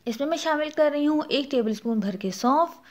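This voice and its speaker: A woman's voice: sits high, expressive, brisk. A woman with a Pakistani Urdu accent hosting a cooking channel, narrating a recipe step by step and adding practical tips. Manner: explanatory, instructive, gives practical tips.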